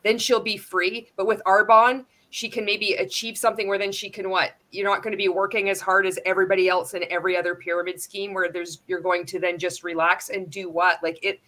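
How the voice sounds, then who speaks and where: A woman hosting a panel chat, speaking in an animated, quick way with plenty of "like" fillers. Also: medium pitch. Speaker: show host. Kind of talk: casual panel discussion.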